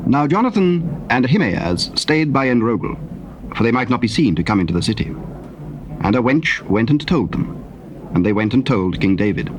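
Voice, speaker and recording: Male narrator for dramatized scripture, formal and measured, mid register, slightly hissy old cassette. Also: medium pitch, animated.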